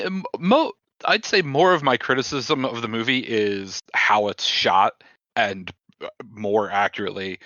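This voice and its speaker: A man's voice: medium pitch, pushed and bright, no room sound. A man co-hosting a podcast in casual film chat, recorded with a slightly dull, lo-fi sound. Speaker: podcast co-host.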